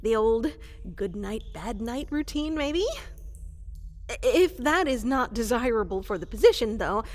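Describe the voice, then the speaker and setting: Woman playing an eager, flustered little monster girl, high-voiced. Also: expressive. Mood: earnest, nervous. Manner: halting, stammering, apologetic, overly formal. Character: small, fluffy, eager llama-centaur monster girl, flustered and deferential. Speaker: applicant for evil advisor post. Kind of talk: scripted fantasy comedy roleplay. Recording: reverberant large hall.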